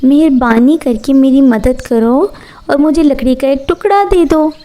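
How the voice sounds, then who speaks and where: A self-serving villager from a moral tale for children, played by a woman. The voice is on the high side and mellow in tone. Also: unhurried. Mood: pleading. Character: village man building a house, self-serving.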